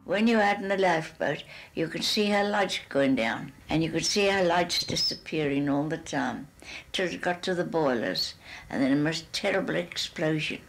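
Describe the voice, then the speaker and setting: Faint noise and clicks surround a low-voiced woman on an archive recording. She recalls events as an eyewitness, plainly and matter-of-factly, with a gravelly creak. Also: moderate pace, rough recording. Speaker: shipwreck survivor. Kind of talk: spoken eyewitness recollection.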